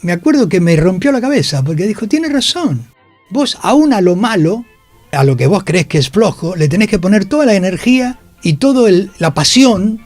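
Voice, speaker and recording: Emphatic Argentine man, higher-pitched voice. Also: very animated, normal speed, darkish tone, no room sound, soft background music. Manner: emphatic, repeats phrases for stress, swears freely. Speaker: interview guest, actor. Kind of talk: animated storytelling in a radio interview. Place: radio studio.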